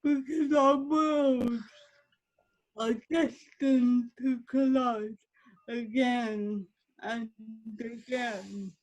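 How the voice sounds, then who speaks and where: A woman reading her poem aloud over a video call, in a measured, lingering way. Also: a little high, fairly even intonation, lo-fi sound. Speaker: poet reading. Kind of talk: slow poetry reading.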